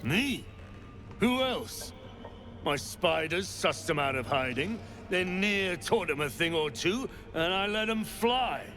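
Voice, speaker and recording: A man's voice: sits high, animated, slow, bright, forceful tone, soft background music, exaggerated voice. A man playing a boastful, menacing dwarf spider keeper in a video game. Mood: menacing, gloating.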